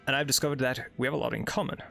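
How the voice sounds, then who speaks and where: A young Norwegian man talks through a strategy game in loose, filler-filled asides, a Norwegian accent coloring his English. His voice sits mid-pitch with a gravelly fry. Faint music hums underneath. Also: fairly even intonation, rapid-fire, a bit bright. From Norway. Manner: rambling, filler-heavy, chatty asides while reading game text. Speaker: let's play host. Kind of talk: casual gameplay commentary.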